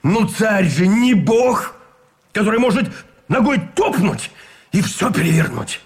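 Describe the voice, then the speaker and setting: An actor playing a haughty, cynical army colonel in a radio play, speaking in an emphatic, declaiming manner. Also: male voice, high voice, sing-song, measured pace. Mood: scornful. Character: haughty, cynical male army colonel pleading for patriotic sacrifice. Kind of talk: Russian radio play acting.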